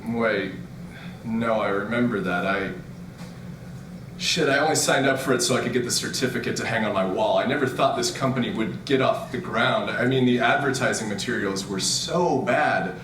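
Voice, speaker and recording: A man playing a bewildered, grumbling retired grandfather in an audio drama, speaking in a halting stammer. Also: medium pitch, fairly bright tone, a little hiss or hum, natural voice, low-quality recording. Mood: disbelieving. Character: crotchety, bewildered retired grandfather, newly dead and digitized. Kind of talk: scripted audio drama acting. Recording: music behind the voice.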